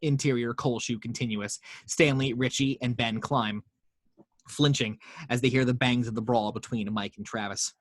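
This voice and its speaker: A man reads horror stage directions at a mid pitch, in a steady storytelling way with the odd stumble. His voice is dry and close, with no room sound. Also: normal speed, no room sound. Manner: steady storytelling read with small slips. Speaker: narrator reading action lines. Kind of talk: table read narration.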